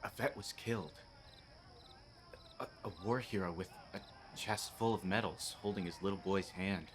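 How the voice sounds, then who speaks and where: An actor playing an earnest, rule-bound policeman in a radio play, with a halting delivery. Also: low voice, a bit bright, slight background noise. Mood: shaken.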